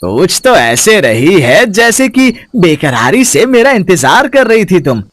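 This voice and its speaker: A lecherous, taunting young village villain for an audio story, acted by a man. The voice sits high for a male and is very animated, with a shameless leer. The recording is dry and close. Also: a little slow, dry recording, full character voice.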